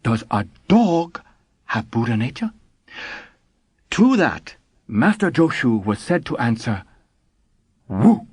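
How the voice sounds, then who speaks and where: A man's voice: mid-range voice, sing-song, slow pace, long pauses, darkish tone, husky, dry recording, studio quality, heavily acted. A man voicing an older scholar who lectures in broken English. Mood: lecturing. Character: shy, smiling older male Japanese Zen scholar. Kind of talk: audiobook character voice.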